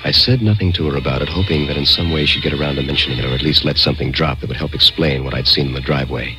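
Very deep-voiced, suspenseful male narrator. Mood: suspenseful, absorbed. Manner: deliberate, suspenseful, expressive. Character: curious, insistent man narrating his eerie mystery. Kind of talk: dramatic first-person radio mystery narration. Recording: radio drama with music behind.

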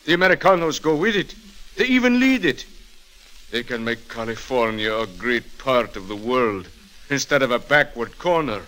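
An actor playing an earnest, idealistic Californio peacemaker, persuading in a declamatory 1940s radio style. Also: male voice, medium pitch, animated, moderate pace, a bit bright, close to an everyday voice. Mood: earnest, persuasive. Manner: impassioned, declamatory. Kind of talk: 1940s radio drama acting.

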